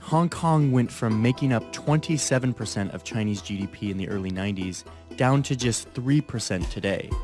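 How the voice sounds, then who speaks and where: A man narrates a documentary in a measured, explanatory tone. His voice sits a little low, and clear music plays under his words. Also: darkish tone. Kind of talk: scripted narration.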